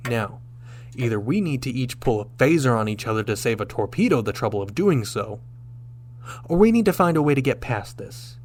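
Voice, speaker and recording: A man playing a confident, shrewd starship captain in a sci-fi radio drama, speaking with deliberate, commanding rhetorical pauses. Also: mid-range voice, lively intonation, darkish tone, slight background noise, lightly acted. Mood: persuasive, grave.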